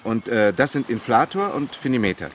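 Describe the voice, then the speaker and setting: An actor playing a stern, practical diving instructor in a radio drama. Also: male voice, mid-range voice, very animated, brisk, background noise, natural voice. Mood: businesslike.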